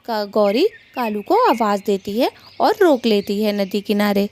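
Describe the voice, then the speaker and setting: A woman's voice: medium pitch, lively intonation, measured pace, a little mellow, a little hiss or hum. A woman narrator reading a scripted children's story.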